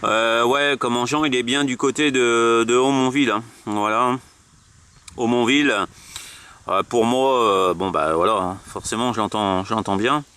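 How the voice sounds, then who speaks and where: A man in a casual amateur radio chat, speaking haltingly and repeating himself with many verbal tags. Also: mid-range voice, steady tone, sharp tone, clean tone. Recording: noisy radio transmission, vehicle-like hum.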